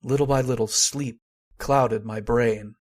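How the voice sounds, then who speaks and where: A man's voice: medium pitch, steady tone, measured pace, a little mellow, natural voice. A man acting as an uneasy heir who narrates a mystery in an old-time radio horror style. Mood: brooding.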